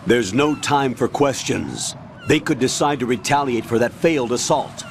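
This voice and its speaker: A stern older colonel from a video game, played by a man, commanding and measured as he briefs. His voice sits at a medium pitch, with faint noise behind it. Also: creaky, lightly acted. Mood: firm. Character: stern, authoritative older male military commander.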